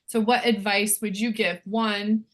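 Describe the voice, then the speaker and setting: A woman from the United States moderating a panel discussion, casual and chatty, with quick asides. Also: mid-range voice, flat delivery, fairly bright tone.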